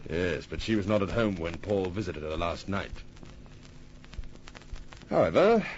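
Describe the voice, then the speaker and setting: Smooth evil killer from old radio crime show, slow talker, deep voice, polite but threatening, muffled old recording. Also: male voice, animated, darkish tone, a little hiss or hum. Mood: smug, cold. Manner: polite, menacing, deliberate. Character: suave, cold-blooded murderous impostor twin with a gun. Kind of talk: old-time radio crime drama acting.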